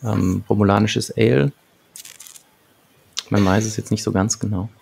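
Male co-host, thirties, creaky lower register, reflective and analytical, speaking close to a desk mic. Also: fairly even intonation, measured pace, darkish tone, slight background noise. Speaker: podcast co-host. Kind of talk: casual analytical discussion. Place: small book-lined home room, indoors, remote video call. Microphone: large desk microphone close up, with headphones.

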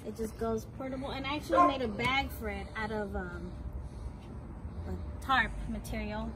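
A woman's voice: fairly high pitch, steady tone, moderate pace. A young woman casually explaining a homemade build step by step, recorded outdoors on a handheld camera. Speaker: vlogger showing a home build. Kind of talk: casual show-and-tell. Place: outdoor driveway beside a house. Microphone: camera mic, handheld camera nearby. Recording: loud outdoor noise.

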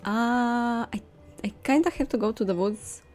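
A young woman giving casual gameplay commentary, expressive and musing to herself. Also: a little high, unhurried. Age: young adult. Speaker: video game streamer. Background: soft game music.

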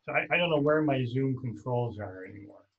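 A man's voice: mid-range voice, expressive, brisk, narrow, tinny audio. A middle-aged man hosting a casual video-call chat from a room at home, loose and rambling as he corrects himself mid-sentence. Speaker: host.

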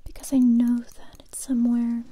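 A young woman playing a determined survivor interrogating a captive in a slow, breathy ASMR whisper, softly stern and close to the microphone. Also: fairly high pitch, completely flat delivery. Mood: hushed, stern. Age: young adult. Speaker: ASMR performer.

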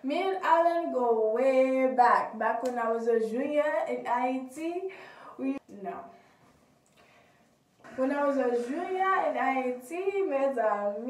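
A young woman vlogger with a high voice talks informally to the camera. Her delivery is animated and casual. The sound is echoey and a little far from the mic, with clear noise around her. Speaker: vlogger and pageant contestant. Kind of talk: casual vlog chat to camera. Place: small indoor rooms. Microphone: phone or camera mic at a short distance. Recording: roomy, noisy, off-mic phone audio.

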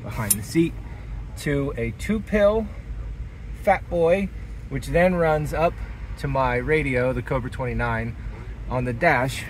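A man's voice: mid-range voice, slow pace, long pauses. Enthusiastic man vlogging with background noise. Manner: enthusiastic, plainspoken. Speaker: hobbyist vlogger. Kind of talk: casual hands-on demonstration. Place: inside a parked vehicle and outdoors in a driveway. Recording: clear background noise from car and outdoors.

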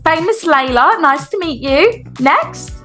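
A woman playing a friendly, brisk swimming coach who cheerily instructs toddlers in a game roleplay. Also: high voice, lively intonation, fairly bright tone, soft background music, exaggerated voice. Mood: cheery, organizing.

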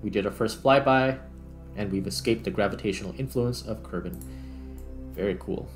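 A man's voice: lowish voice, normal speed. A man hosting a gaming video, thinking aloud in casual commentary as he plays. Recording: music behind the voice. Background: background music.

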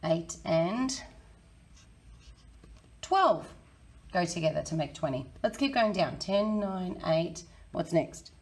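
Croaky woman in her 30s explaining sums to kids, friendly and upbeat, kinda low voice. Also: lively intonation, slow pace, pauses often, a little mellow. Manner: friendly, encouraging, talks to kids. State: hoarse, croaky voice. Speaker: teacher. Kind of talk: children's maths lesson. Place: small indoor room.

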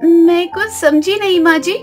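A woman's voice: very high-pitched, unhurried, darkish tone, soft background music, pitched above the performer's own voice. A woman voicing a sweet, hopeful young bride of tiny stature, polite and deferential, in a moral story. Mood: puzzled.

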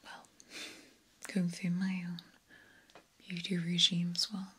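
A young woman whispering breathily in an ASMR roleplay as a genteel, sinister vampire countess, hushed and deliberate, with long pauses. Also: on the low side, completely flat delivery. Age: young adult. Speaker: ASMR performer. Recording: clear background noise and ambience.

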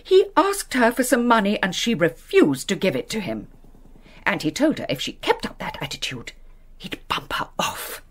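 A woman's voice: medium pitch, huge pitch swings, normal speed. An actress playing a fussy, gossipy middle-aged English lady's companion in a British radio play, with a fluttery, prim manner. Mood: indignant.

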